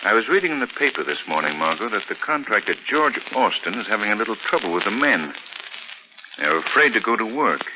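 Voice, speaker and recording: An actor playing a grave, self-assured gentleman sleuth in a 1940s radio mystery. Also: lowish voice, sing-song, a little fast, highs cut off. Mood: grave, determined. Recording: noisy old 1940s radio recording.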